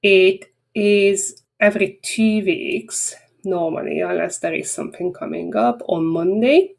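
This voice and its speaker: A woman's voice: mid-range voice, a little slow, creaky, a little mellow. A woman with a Hungarian accent, a native Hungarian speaker, closing a marketing webinar in a chatty, rambling, frank way. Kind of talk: casual webinar wrap-up talk.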